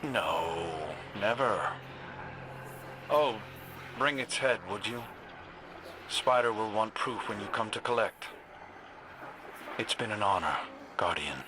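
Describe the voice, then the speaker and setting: A man's voice: on the low side, expressive, slow, long pauses, background noise. A man playing a brave, earnest and loyal young hunter in a video game cutscene. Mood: warm, wry. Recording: loud music bed behind the voice.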